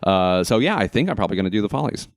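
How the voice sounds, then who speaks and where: A man's voice: lowish voice, very animated, rapid-fire, no room sound, clean studio sound. A man co-hosting a casual conversation in a chatty, wry manner. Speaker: show co-host and amateur actor.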